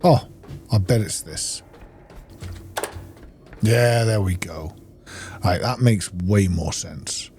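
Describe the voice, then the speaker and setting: A man's voice: sits low, slow, long pauses, over music. A middle-aged man giving casual gameplay commentary, rambling and thinking aloud. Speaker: gaming YouTuber.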